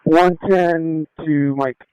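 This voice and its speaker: Male officer, procedural radio updates, clear voice a touch high, dry dull scanner audio. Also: steady tone, extremely slow, a little mellow, dry recording. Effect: police radio. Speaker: police officer.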